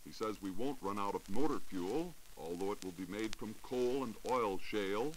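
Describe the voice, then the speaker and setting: A man's voice: lowish voice, moderate pace, low-quality recording. An American man giving an earnest, scripted science report in 1940s radio style. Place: radio studio. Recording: hissy 1940s radio recording.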